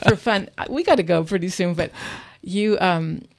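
A woman hosting a casual radio interview in a studio, enthusiastic but halting as she searches for words. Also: on the low side, creaky. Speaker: radio host. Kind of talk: casual unscripted on-air chat.

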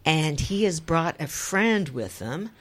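A woman hosting a casual radio interview in a station studio, chatty and quick with questions. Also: low-pitched, animated. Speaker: radio host.

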